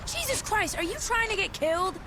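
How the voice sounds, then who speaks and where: An actress playing a sharp-tongued, impatient gangster's girlfriend in a video game, sarcastic and snappish. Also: very high voice, lively intonation, a little fast. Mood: furious. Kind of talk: video game cutscene acting. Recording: clear background noise.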